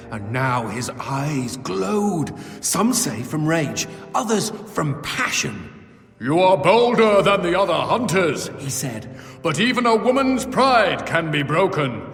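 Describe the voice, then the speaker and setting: High male voice, bawdy demon sidekick, very animated, theatrical game dialogue, music behind. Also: exaggerated voice. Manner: theatrical, quippy, storyteller's flourish. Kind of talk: video game character acting. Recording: long hall reverb under game music.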